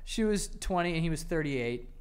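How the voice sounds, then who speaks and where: A man reads out facts and cracks jokes on a casual podcast, his voice slightly high and lively. He is loose and offhand. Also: moderate pace, clean tone. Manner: joking, reading out facts. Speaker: podcast co-host. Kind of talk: casual chat.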